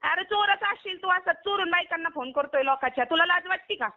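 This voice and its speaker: A woman's voice: extremely high pitch, brisk. A woman arguing heatedly over the phone, scolding and firing insistent questions at the other person. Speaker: online video performer receiving a prank call. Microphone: phone call. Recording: muffled lo-fi phone call recording.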